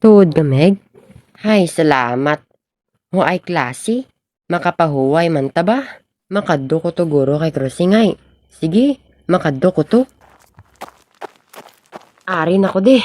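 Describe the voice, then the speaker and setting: A woman's voice: low-pitched, expressive, unhurried, pauses often, a little mellow, no echo. A young woman playing an earnest, lovestruck schoolboy in an amateur radio drama. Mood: smitten. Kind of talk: amateur radio drama acting in Filipino regional language.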